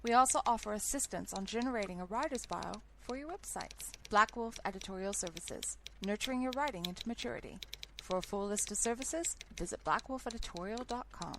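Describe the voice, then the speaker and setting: A woman's voice: medium pitch, expressive, fairly quick. A woman reading scripted advertising narration as an announcer. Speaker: commercial announcer. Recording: clear keyboard typing noise behind. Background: computer keyboard typing.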